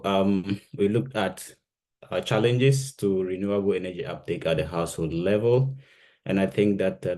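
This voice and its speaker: Man in his thirties from Ghana, very deep voice, conference researcher, measured delivery. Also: a little mellow. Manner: measured, fills pauses with "uh". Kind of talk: conference presentation.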